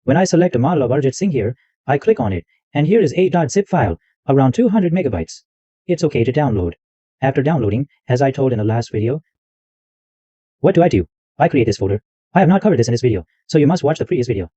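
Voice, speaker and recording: A man hosting a tech tutorial, walking through software step by step and repeating himself as he goes. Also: medium pitch, big pitch swings, fast, slightly rough, dry recording, muffled recording. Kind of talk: screen-recorded software walkthrough.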